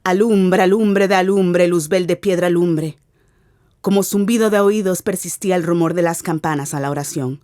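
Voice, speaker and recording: A woman giving a dramatic, deliberate literary narration for a radio adaptation. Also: on the low side, a little mellow, dry recording, studio quality.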